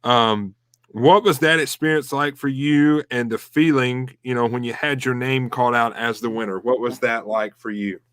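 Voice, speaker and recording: A man's voice: medium pitch, steady tone, normal speed, fairly bright tone, clean tone, dry recording. A man hosting a casual interview, friendly and encouraging, asking open questions. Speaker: host.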